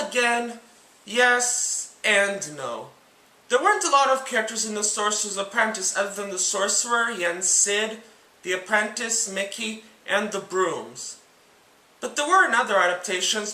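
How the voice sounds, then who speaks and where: A man narrating a scripted book and film comparison as host, speaking in a deliberate, enunciated, presentational way. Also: high-pitched, sharp tone. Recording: echoey room sound.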